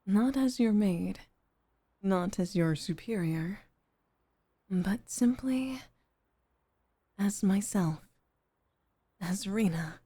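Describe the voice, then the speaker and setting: A woman playing a composed, formal head maid as a devoted girlfriend in an intimate ASMR roleplay, speaking softly and often near a whisper. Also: medium pitch, expressive, breathy edge, no echo, exaggerated voice. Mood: earnest, vulnerable. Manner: soft, measured, formal, often dropping toward a whisper.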